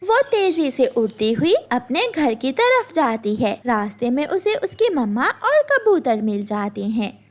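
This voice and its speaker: A woman narrating a scripted children's cartoon story in an expressive storytelling manner. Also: sits high, measured pace.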